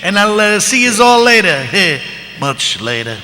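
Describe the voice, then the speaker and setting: A man's voice: high voice, very animated, measured pace, sharp tone, full character voice. A man voicing a cocky, streetwise cartoon wise guy in a live comedy script reading. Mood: flustered. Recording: echoey convention hall, background noise.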